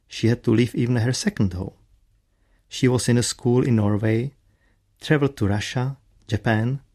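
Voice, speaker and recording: A man's voice: on the low side, a little mellow. A man narrating a radio play in scripted storytelling style.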